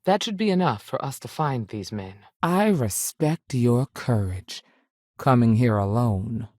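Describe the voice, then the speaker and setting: Casting: principled female ranger in a sci-fi game, strict yet protective, folksy, very expressive pitch, dry close recording. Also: a little slow, no room sound, full character voice. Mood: steady, firm. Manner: plain-spoken, authoritative, folksy. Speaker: video game character actor. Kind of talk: scripted game dialogue.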